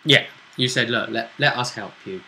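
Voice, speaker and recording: A man acting as game master, recapping a tabletop role-play session in a casual, halting storytelling way. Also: mid-range voice, sharp tone.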